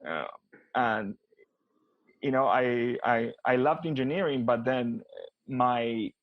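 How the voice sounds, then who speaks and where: A man's voice: mid-range voice, slow, no echo, dull audio. A man from Argentina with an Argentine Spanish accent, talking as an interview guest about his career, with many restarts and repeated words. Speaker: guest, business consultant. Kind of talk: casual interview conversation.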